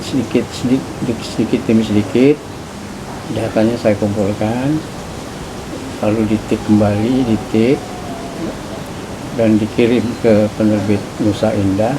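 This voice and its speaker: Older man, low voice, heavy hiss. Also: steady tone. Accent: Jakarta. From Indonesia. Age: 70s. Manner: measured, deliberate storytelling. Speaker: interviewee, linguist. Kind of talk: recounting memories. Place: home. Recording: loud background noise.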